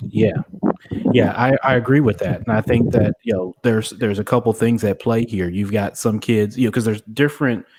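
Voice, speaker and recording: Low-pitched man hosting an interview. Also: normal speed, a little mellow, slight background noise, low-quality recording. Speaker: podcast host interviewer. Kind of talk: conversational interview.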